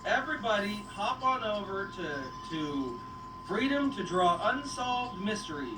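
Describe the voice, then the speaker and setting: A woman's voice: medium pitch, very animated, unhurried. A woman hosting a casual livestream on a goat farm, playfully teasing and chatting to viewers and animals. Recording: echoey, noisy farm livestream, distant mic.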